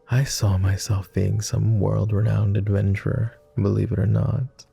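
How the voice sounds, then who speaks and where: A man voicing a gentle, devoted vampire, speaking softly and formally in an intimate roleplay ASMR style. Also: on the low side, exaggerated voice. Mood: wistful. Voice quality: soft, gravelly. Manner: posh, formal, tender. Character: gentle, teasing male vampire, devoted and wistful.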